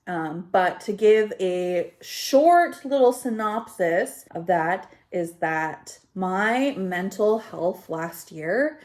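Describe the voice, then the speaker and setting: Slow-paced congested woman, rambling vlogger. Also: medium pitch, animated, darkish tone. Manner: self-deprecating, scattered, conversational asides. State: slightly congested. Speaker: YouTube vlogger. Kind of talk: casual rambling chat to camera. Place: home kitchen, indoors.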